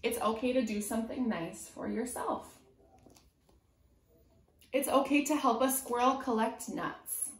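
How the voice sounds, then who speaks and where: A woman in her twenties reads a picture book aloud as a preschool teacher, pausing to put simple, encouraging questions to young children. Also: a little high, slight background noise. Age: 20s. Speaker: preschool teacher reading a storybook. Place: small room at home, indoors. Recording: roomy indoor echo.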